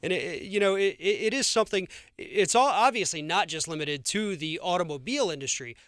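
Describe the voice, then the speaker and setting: A man's voice: sits high, lively intonation, fairly quick, a bit bright, dry recording. A man with an American accent hosting a radio interview, speaking emphatically and indignantly, often stammering over repeated words.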